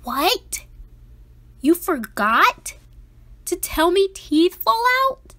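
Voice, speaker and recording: A woman voicing an anxious, dramatic five-year-old boy in an expressive picture-book read-aloud, with emphatic, theatrical delivery. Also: extremely high pitch, drawn-out words, full character voice. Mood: surprised, relieved.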